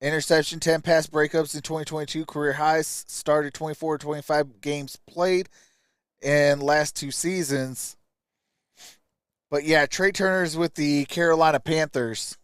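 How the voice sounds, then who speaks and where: A man's voice: a little high, fairly even intonation, a little slow, clean tone, no room sound, clean studio sound. A man hosting a live stream, giving casual draft commentary and reading out stats in clipped phrases.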